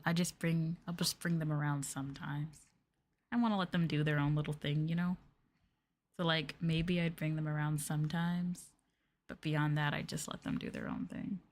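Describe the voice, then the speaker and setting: A woman streamer chatting casually in a soft, affectionate, gushing ASMR style, close to the microphone and sometimes near a whisper. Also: fairly low pitch. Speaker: ASMR streamer, VTuber host. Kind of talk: ASMR soft talking, casual chat with viewers.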